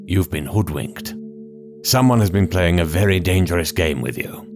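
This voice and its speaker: A man doing a suave, condescending gentleman. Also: deep voice, normal speed, noisy background. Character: urbane, patronising male time traveller.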